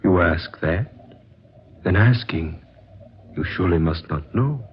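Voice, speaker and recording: An actor playing a wise older man in a 1940s radio drama, a calm spiritual guide who speaks in solemn, measured, cryptic questions. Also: low voice. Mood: fatherly, solemn. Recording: muffled, noisy vintage radio recording.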